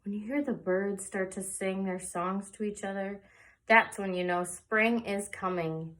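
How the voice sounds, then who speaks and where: A woman reading a picture book aloud to young children in an expressive storytelling way, pausing for little asides. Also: mid-range voice, rough recording. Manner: slow, animated, pausing for asides. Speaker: children's read-aloud presenter.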